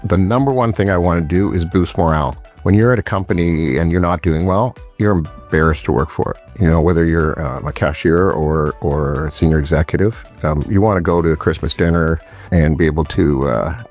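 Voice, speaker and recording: A man speaking plainly in an interview clip, pausing with fillers. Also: a bass voice, a little mellow, faint music behind. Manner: plain-spoken, hesitant with fillers. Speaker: company executive.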